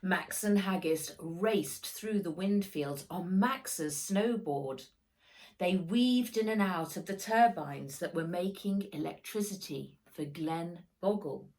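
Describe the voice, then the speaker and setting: A woman author reading her own children's story aloud as expressive narration, with dramatic delivery of the sound words. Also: fairly low pitch, takes it slowly, poor audio.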